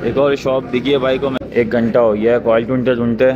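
A man's voice: medium pitch, flat delivery, fairly quick, dull audio. A young man with a Pakistani accent chatting casually to his vlog audience, slangy and complaining, on a handheld phone. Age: young adult. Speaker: travel vlogger. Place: outdoors at roadside workshops and in a parked car. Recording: noisy roadside with vehicle and wind noise.

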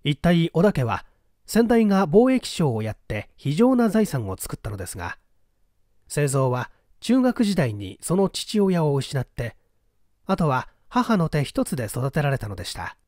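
A man reading a mystery story aloud as literary narration, in a measured, polite storytelling manner. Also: a little high, very animated, a little mellow, dry recording, clean studio sound. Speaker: audiobook reader.

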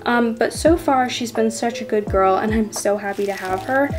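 A woman's voice: on the high side, moderate pace. A young woman in her teens narrating a casual horse vlog, chatty and full of fillers and little asides. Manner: chatty, many fillers and "you know". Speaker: toy horse vlog creator voicing a rider. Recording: voice-over with clear music underneath.

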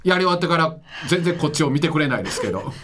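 A man's voice: on the high side, lively intonation, very fast, background noise. A man with a Kansai accent co-hosting a radio show with casual, teasing banter. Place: radio studio.

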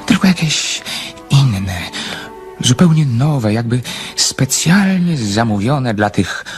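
An actor playing a lonely, shy, lyrical dreamer in a radio drama, with a hesitant, theatrical delivery. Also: male voice, medium pitch, very animated, takes it slowly, faint music behind. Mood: dreamy, lyrical. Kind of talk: Polish radio drama acting.

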